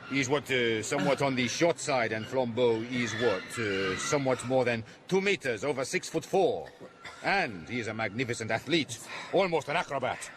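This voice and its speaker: Actor as theatrical French police chief, animated, noisy. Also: male voice, mid-range voice, normal speed, natural voice. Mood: amused. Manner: pedantic, dramatic, foreign phrasing. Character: proud, theatrical French police prefect hunting a master thief. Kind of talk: radio drama acting.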